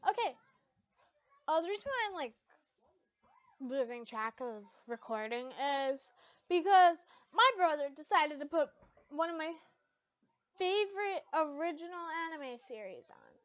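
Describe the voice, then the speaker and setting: A young woman reading fan fiction aloud in an informal, excitable way, stumbling over words and dropping into casual asides. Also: high-pitched, a little slow, pauses often, no room sound. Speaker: amateur fan fiction reader. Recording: muffled lo-fi home recording.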